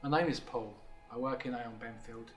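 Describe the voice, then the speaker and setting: Calm man in his forties, roomy sound. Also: mid-range voice, moderate pace, soft background music. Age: 40s. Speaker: employee sharing his mental health story. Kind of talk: scripted testimonial. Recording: roomy video recording.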